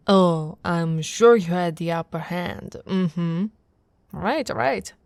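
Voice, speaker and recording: A woman's voice: lowish voice, drawn-out words, dry recording. A woman voicing a tough, teasing and affectionate orc warrior wife in a playful ASMR roleplay. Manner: playful banter, soft laughs and murmurs.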